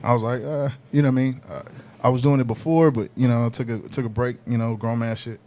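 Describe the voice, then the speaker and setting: A man with a deeper voice telling stories in casual podcast talk, laid-back and peppered with "you know what I mean". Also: steady tone, fast, warm tone. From Southern California. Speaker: guest hip hop artist.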